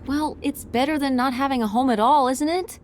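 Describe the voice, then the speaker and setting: A woman's voice: high-pitched, a little fast, a little mellow, natural voice. A woman playing a worried teenage daughter in a video game, natural in delivery, pointed and sulky as she questions. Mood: disappointed, pleading. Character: worried, challenging teenage daughter named Tess. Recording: clear background ambience noise.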